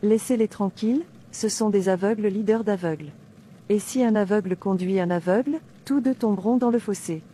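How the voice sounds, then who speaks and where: Casting: actress as fearless believer preaching verses in a cartoon, warm dark tone, medium pitch. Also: female voice, normal speed, a little hiss or hum, lightly acted. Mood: defiant, devout. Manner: declamatory, reciting verses with references. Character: devout, fearless captive woman preaching scripture. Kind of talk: scripture recitation in animated drama.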